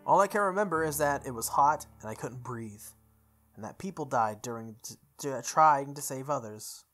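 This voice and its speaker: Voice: medium pitch, lively intonation, moderate pace, a bit bright. A person reading visual novel text aloud for a playthrough, stumbling over words and repeating phrases, with offhand asides. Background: music.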